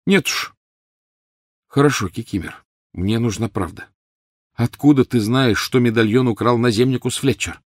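A man voicing an urgent, commanding teenage boy hero in a storybook reading, with a forceful, demanding manner. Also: medium pitch, moderate pace, no echo, clean studio sound, pitched above the performer's own voice, natural voice. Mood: insistent.

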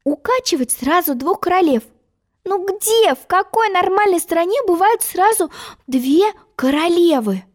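A woman's voice: very high-pitched, lively intonation, measured pace, pitched above the performer's own voice. A woman voicing a spirited young girl in a storybook, bewildered and indignant, with emphatic, plaintive delivery.

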